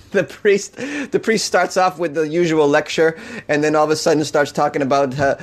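A man with a Boston accent hosts a comedic news podcast in a loose, rambling monologue. He jokes irreverently and laughs often. His voice sits a bit high for a man. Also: normal speed. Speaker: podcast host.

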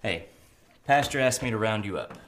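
A man's voice: lowish voice, animated, normal speed, a bit bright, slight background noise. A man playing a loyal, hot-tempered survivor with a teasing streak in an audio drama, casual and direct.

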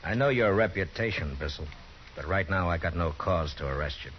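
Super deep steady old-west marshal, muffled radio with music. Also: male voice, a little hiss or hum. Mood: firm. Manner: calm, firm, measured. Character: stern, steady frontier marshal. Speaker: narrator and lead. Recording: dull 1950s radio broadcast.

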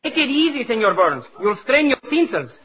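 An actor playing an excitable comic sidekick with a Spanish accent in a 1940s radio comedy. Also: male voice, very high voice, moderate pace. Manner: comic, word-mangling. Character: excitable, loyal Spanish-speaking male sidekick mangling words. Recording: dull, noisy 1940s radio recording.

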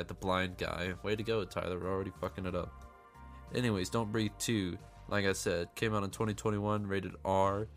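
A man who is a little under the weather and snuffly, hosting a casual, joking movie-review podcast and swearing freely. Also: lowish voice. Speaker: podcast host reviewing films.

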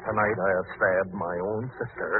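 An actor playing a suave, gloating murderer with mocking, elaborate courtesy in an old radio drama. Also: male voice, low-pitched, fairly even intonation, normal speed, natural voice. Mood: cold threat. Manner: mannered, theatrical, elaborately polite wording. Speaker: actor in a radio mystery serial. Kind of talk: old-time radio villain acting. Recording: tinny, noisy old radio recording.

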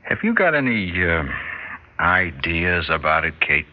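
A man's voice: deep voice, sing-song, a little slow. An actor with an American accent playing a skeptical, practical suburban husband and father in a radio drama. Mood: matter-of-fact. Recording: slightly dull radio recording.

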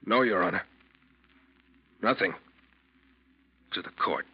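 Husky, bitter ship's mate. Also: male voice, low-pitched, takes it slowly, exaggerated voice. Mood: resigned. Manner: bitter, accusing. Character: bitter, vengeful wronged male ship's mate. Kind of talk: 1940s radio drama acting. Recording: muffled old 1940s radio recording.

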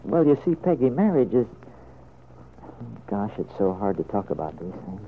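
An anxious, tender father of middle age, speaks in a low, halting voice. It is earnest old radio serial drama, on a narrow, muffled recording with some background noise. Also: big pitch swings. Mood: hesitant, gentle. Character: anxious, tender middle-aged father hiding his secret.

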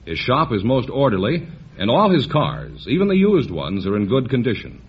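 Lively male announcer on vintage radio. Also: mid-range voice, normal speed, slightly rough. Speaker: radio announcer and show sidekick. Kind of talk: polished announcing and scripted ad reading. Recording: noisy, dull vintage radio recording.

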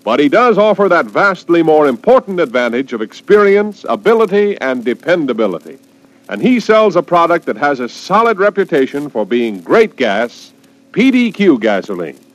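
Animated male radio commercial announcer. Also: a little high, moderate pace. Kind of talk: 1940s radio advertisement, scripted pitch.

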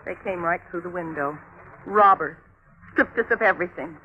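Man voicing a high-pitched, melodramatic long-suffering spouse. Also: normal speed, lightly acted. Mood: woeful recounting. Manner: sighing, clipped, dramatic phrases. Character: exasperated, melodramatic spouse of a bumbling criminal. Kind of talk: old-time radio drama acting. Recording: tinny, hissy vintage radio recording.

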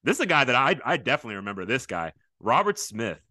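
A man hosting a podcast in a casual interview chat, with a big booming voice and a loud, enthusiastic way of talking. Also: big pitch swings, quick pace, no echo, clean studio sound. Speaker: podcast host.

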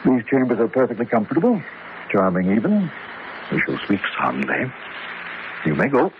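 An actor playing a pompous, suave and self-admiring gentleman adventurer in a florid, theatrical comic horror radio drama style. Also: mid-range voice, sing-song, moderate pace, lightly acted. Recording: muffled, noisy old radio drama recording.

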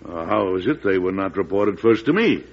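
An actor playing an embattled but calm, dignified president in a classic radio drama. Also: male voice, deep voice, normal speed, husky. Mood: indignant. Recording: dull old radio broadcast.

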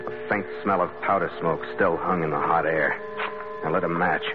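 An actor playing a tough, calm skipper turned detective in an old radio drama, terse and matter-of-fact, narrating and speaking in character. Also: male voice, sits low, normal speed, over music, natural voice. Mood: grim, measured. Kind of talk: 1940s-50s radio drama acting, first-person narration and dialogue. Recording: muffled, noisy old radio recording.

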